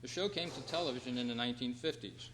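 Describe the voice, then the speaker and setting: A man hosting a trivia quiz at a club meeting, reading out questions in a measured, announcing way. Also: medium pitch. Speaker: quiz host. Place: hall at a convention club meeting. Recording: reverberant hall, clear background noise and hum.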